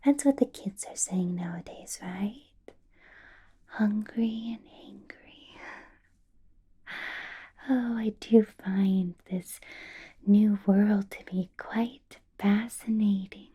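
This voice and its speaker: A woman playing a possessive, menacingly sweet vampire boss in a whispered ASMR roleplay, coaxing and polite with drawn-out words. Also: mid-range voice, dark tone. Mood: amused, musing. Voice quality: breathy whisper.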